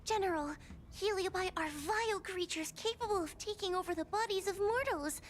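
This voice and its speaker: A woman's voice: very high-pitched, normal speed. A woman playing a timid, earnest young female judge in a video game, speaking politely, formally and hesitantly. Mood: worried, pleading. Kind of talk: scripted video game voice acting.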